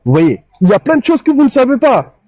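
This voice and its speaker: A man from Guinea-Bissau with a Bissau-Guinean accent calling into a radio debate, speaking in halting, impassioned bursts full of restarts and fillers over a phone line. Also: extremely high pitch, sing-song. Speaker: caller.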